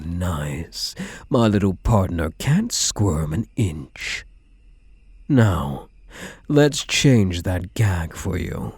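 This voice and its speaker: A low, dark voice with a slightly husky grain belongs to a man acting a possessive yandere kidnapper on his wedding day. He doles out smug, mock-sweet endearments and quiet threats, swinging expressively in pitch. It is ASMR roleplay drama with a menacing, doting edge. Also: dry recording, exaggerated voice. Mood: smugly commanding. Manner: slow, taunting, mock-tender endearments.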